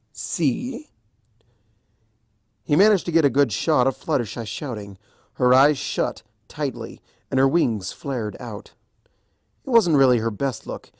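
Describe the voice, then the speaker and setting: A narrator reading fan fiction aloud as an expressive scripted storybook narration. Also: mid-range voice, no echo, clean studio sound.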